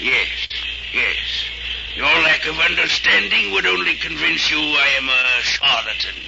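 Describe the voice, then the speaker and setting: An actor playing a pompous, haughty, fat silver-haired magician in a formal 1940s radio drama style. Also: male voice, on the high side, animated, measured pace, vocal fry. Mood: grandly self-important. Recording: noisy, dull old radio recording.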